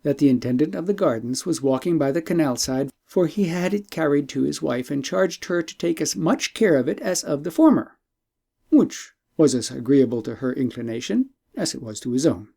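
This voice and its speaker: A man narrates an old tale for an audiobook, with a voice pitched a bit above the usual for men. His tone is warm and soft, and he reads in a measured, formal storytelling manner. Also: expressive, vocal fry.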